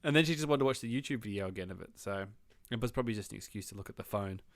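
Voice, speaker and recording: A man's voice: on the low side, expressive, rapid-fire, dry recording. An Australian man, around his thirties or forties, co-hosting a podcast in casual chat, telling things in a wry, rambling way. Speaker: podcast co-host.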